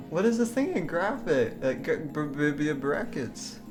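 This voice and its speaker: A man with a fairly high voice gives casual live commentary as a game streamer. He is giggly and chatty as he goes, and music plays clearly behind him. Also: very animated, slow pace. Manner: giggly, breaks into laughter while talking.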